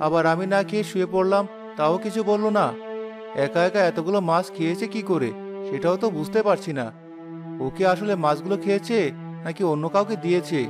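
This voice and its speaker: A man's voice: high-pitched, fairly quick, over music, lightly acted. A man voicing a mild, puzzled and suspicious village husband in a Bengali cartoon story. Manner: questioning, wondering aloud.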